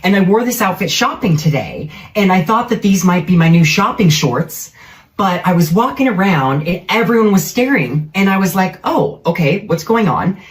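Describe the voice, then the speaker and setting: A woman's voice: fairly low pitch, lively intonation, moderate pace. A woman talking chattily and playfully into a social media vlog clip. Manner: chatty, playful, breaks into singing.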